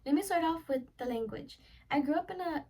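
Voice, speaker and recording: A high-pitched eighteen-year-old woman whose first language is Spanish delivers a prepared self-introduction for class. She speaks earnestly and often closes a thought with a quick "so yeah." She sits a little back from the microphone. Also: animated, darkish tone. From United States. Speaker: college student. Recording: voice a little far from the mic.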